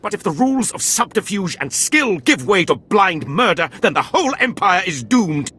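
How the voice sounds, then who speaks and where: An actor playing a haughty, scornful Sith academy overseer in a video game, contemptuous and theatrical. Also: male voice, sits high, big pitch swings, moderate pace, bright, forceful tone, slightly rough, exaggerated voice. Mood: indignant.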